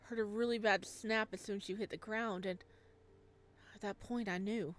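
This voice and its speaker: Woman reading as a soft, guilt-ridden young man. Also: medium pitch, steady tone, normal speed, close to an everyday voice. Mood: somber, reminiscing. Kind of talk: visual novel dialogue read aloud.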